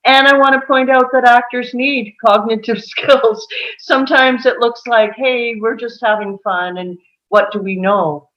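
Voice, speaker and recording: A woman talking in an unhurried way, with soft laughs slipped between her phrases, over a video call. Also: fairly high pitch, steady tone. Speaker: table read participant. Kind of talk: casual panel discussion. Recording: muffled lo-fi mic.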